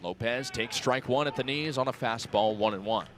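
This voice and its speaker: A young adult man works as a baseball radio announcer, giving live play-by-play in clipped, descriptive phrases. His voice sits at an ordinary male pitch and follows the action closely. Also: moderate pace, slight background noise. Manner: clipped descriptive phrasing, rising excitement on plays. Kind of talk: live radio sports commentary.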